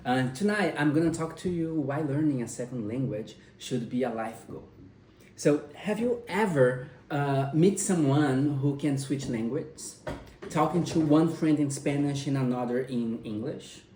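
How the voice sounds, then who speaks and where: A young man whose first language is Portuguese gives a persuasive class speech at home, speaking haltingly with pauses and restarts. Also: medium pitch, darkish tone, slight background noise. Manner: hesitant, with frequent pauses and restarts. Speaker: college student giving a speech. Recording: roomy sound, mic at a distance.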